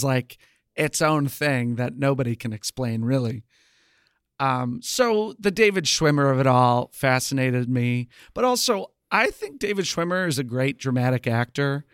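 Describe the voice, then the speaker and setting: Guy doing casual tv podcast talk, mid pitch, really dry recording. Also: a bit bright, smooth, dry recording. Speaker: podcast co-host. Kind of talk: casual TV review podcast chat.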